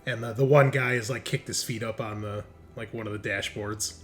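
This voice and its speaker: A man's voice: on the low side, fairly even intonation, brisk, fairly bright tone, soft background music. A man acting as game master, casually improvising narration and talking with his players in a jokey way.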